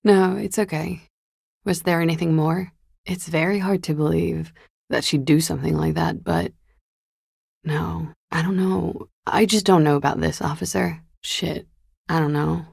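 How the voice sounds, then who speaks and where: Casting: a guarded, wry young woman in a video game, world-weary, heavy vocal fry, dry close sound. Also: low-pitched, fairly even intonation, normal speed, a little mellow, no echo, full character voice. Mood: uneasy, guarded. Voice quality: fry-laden, gravelly. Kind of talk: naturalistic video game dialogue.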